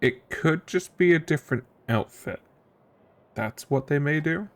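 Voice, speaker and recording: A streamer giving casual game playthrough commentary, musing and thinking out loud. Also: medium pitch, lively intonation, measured pace.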